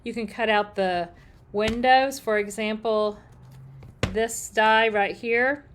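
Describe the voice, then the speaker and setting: A middle-aged woman, slightly hoarse, chatting upbeat through a casual live crafting demo and greeting viewers by name. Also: a little high, steady tone, slow pace, a little hiss or hum. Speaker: paper-crafting demonstrator.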